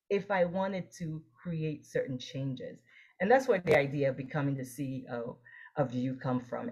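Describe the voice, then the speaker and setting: Low-voiced woman from Haiti, earnest. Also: a little mellow. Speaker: guest, optometrist and leadership coach. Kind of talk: interview, personal story.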